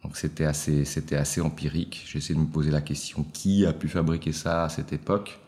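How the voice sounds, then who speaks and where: A man in his forties with a very deep, low-pitched voice. He talks as an interview guest, reflective and unhurried, pausing to find the right word. His tone stays conversational and thoughtful. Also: flat delivery, a little mellow. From Switzerland. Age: 40s. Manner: reflective, searching for words. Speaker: guest, watch collector.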